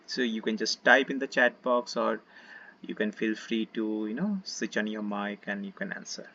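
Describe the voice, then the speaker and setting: A man with an Indian accent teaching a casual online session about peace and mindfulness, gentle and full of fillers. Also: fairly low pitch, normal speed, creaky, dull audio, a little hiss or hum. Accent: Indian English. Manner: relaxed, gentle, many fillers, invites listeners to join in. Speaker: meditation teacher.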